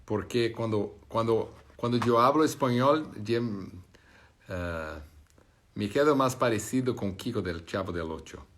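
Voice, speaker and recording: Man, Brazilian Portuguese accent, Portuguese native, live stream host, casual chat, slow pace, halting, restarts often. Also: on the low side, fairly bright tone. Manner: halting, searching for words, many restarts.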